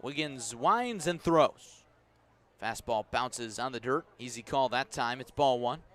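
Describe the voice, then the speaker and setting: A man with a mid-pitched voice works as a radio baseball announcer. He describes the game in measured, unhurried phrases and lifts his delivery when the ball is in play. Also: a bit bright, clean tone. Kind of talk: live radio baseball commentary.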